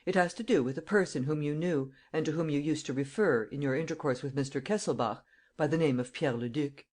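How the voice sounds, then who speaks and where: A reader voicing a calm, commanding police chief who questions in a measured, authoritative way. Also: medium pitch, natural voice. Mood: firm.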